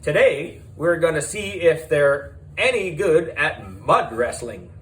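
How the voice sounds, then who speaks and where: A man's voice: on the high side, lively intonation, slow, a bit bright. A man voicing a brash female television presenter in a children's story, breezy and showy like an announcer. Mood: announcing.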